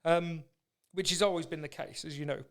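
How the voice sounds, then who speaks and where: A man's voice: a little high, steady tone, fairly quick, smooth. A man hosting a podcast in casual chat, with an informal, matey way of talking. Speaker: podcast host.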